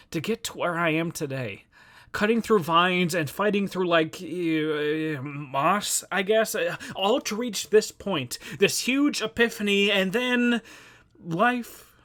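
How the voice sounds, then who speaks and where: A young man performing a dramatic monologue as an anxious, self-deprecating nonbinary moviegoer, halting and rambling as he interrupts himself. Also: high voice, fairly bright tone. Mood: earnest.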